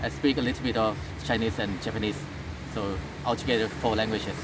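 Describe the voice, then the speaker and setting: A man from Thailand with a Thai accent, speaking English as a second language in a casual interview, halting with many fillers and restarts. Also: on the low side, steady tone, noisy background.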